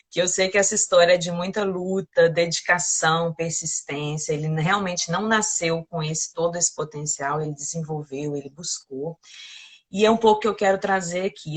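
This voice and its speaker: A woman hosting a live interview, introducing her guest warmly and asking encouraging questions. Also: fairly low pitch. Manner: enthusiastic, encouraging, laughs often. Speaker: host.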